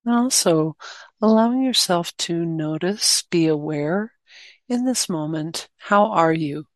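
Female meditation guide, lower-pitched, very unhurried and gentle, short phrases with long gaps. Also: animated, no echo, studio quality. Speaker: meditation teacher.

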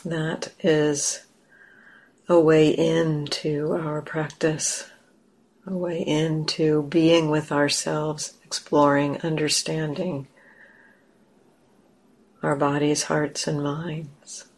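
Slow, creaky-voiced woman guiding meditation. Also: low voice, flat delivery, low-quality recording. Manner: gentle, unhurried with long pauses. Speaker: meditation teacher. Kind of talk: guided meditation.

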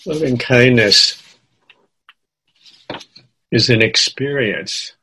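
A man leading a guided meditation as a teacher, giving gentle instructions in short phrases with long pauses between them. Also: mid-range voice, husky, poor audio.

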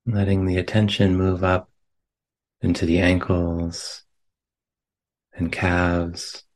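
A man with a deep voice guides a meditation. He speaks very slowly and gently, in short phrases. Long pauses separate each instruction, giving an unhurried delivery. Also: monotone. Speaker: meditation teacher. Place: meditation center.

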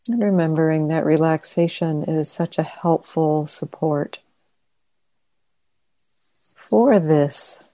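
Slow, low-voiced woman guiding meditation. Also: dry recording. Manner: gentle, unhurried, with long pauses. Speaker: meditation teacher. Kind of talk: guided meditation. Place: meditation center.

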